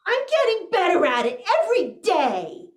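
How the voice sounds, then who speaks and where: In a roomy stage sound, a woman plays a dutiful, long-suffering grown child in a 1940s radio comedy. The voice is high and swoops widely, with slow, melodramatic delivery. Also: very animated. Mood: syrupy, strained.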